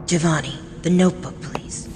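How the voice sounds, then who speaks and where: A woman in an anime fan dub playing a calm, coolly superior young male detective genius, speaking in a measured, deliberate way. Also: deep voice, music in the background, lightly acted. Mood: cool, assured.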